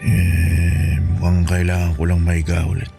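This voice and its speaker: An ailing father, poor, proud and resigned, in a Filipino radio drama. His voice is low and weary, patient and reassuring, with faint music behind. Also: monotone, a little slow, vocal fry, mellow, full character voice. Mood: weak, reassuring.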